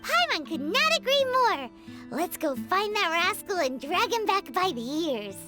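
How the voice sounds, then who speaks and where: A woman playing a small, excitable fairy companion in a video game, squeaky and exclamatory. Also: measured pace, exaggerated voice. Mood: indignant. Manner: squeaky, exclamatory, speaks of self in third person. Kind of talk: animated video game voice acting. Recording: music playing behind the voice.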